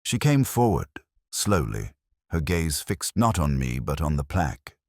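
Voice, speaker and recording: Very deep, brooding detective played by a man. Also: very animated, a little mellow, no echo, studio quality, heavily acted. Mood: solemn, hushed. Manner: measured, solemn, reflective. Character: brooding male English police inspector narrating his investigation. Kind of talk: literary first-person scripted narration.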